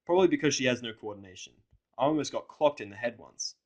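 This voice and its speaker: A man's voice: medium pitch, fast talker, a little mellow. Male narrator, blunt and sweary first-person storytelling, mid-range voice. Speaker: audiodrama narrator of fan fiction.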